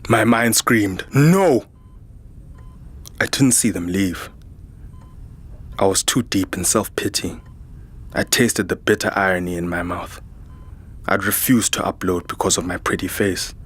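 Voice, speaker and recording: A man's voice: low-pitched, unhurried, long pauses, a bit bright, husky, heavily acted. A man playing a weary, defiant soldier, narrating a science fiction audio drama in a grave, dramatic manner. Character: weary, defiant male human soldier narrating his ordeal. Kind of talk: first-person scripted audio drama narration.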